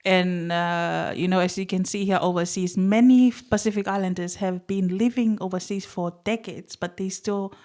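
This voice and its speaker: A woman's voice: medium pitch, steady tone, moderate pace, hardly any pauses, a little mellow. A woman hosting an interview podcast, talking earnestly and in a rambling way. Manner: earnest, rambling, with many ums and uhs. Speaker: podcast host.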